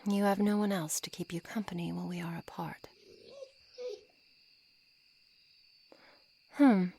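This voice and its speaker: A woman playing a haughty, coolly controlling witch in an ASMR roleplay, speaking formally and deliberately in a breathy voice that often nears a whisper. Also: fairly low pitch, fairly even intonation, mellow, slight background noise. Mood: brooding, disdainful. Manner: slow, formal, deliberate, condescending. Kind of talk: ASMR roleplay, scripted monologue to the listener.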